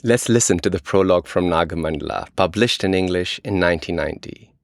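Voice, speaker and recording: A man's voice: low voice, fast, no room sound, clean studio sound. A man with an Indian accent co-hosting a podcast in scripted narration. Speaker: podcast co-host.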